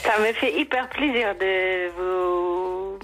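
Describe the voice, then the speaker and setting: A woman with a slight English accent calling a radio show, speaking haltingly with many hesitations as she opens up emotionally. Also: mid-range voice, a bit bright. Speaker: caller. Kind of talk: personal confession on call-in radio. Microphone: telephone line. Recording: muffled phone-line call.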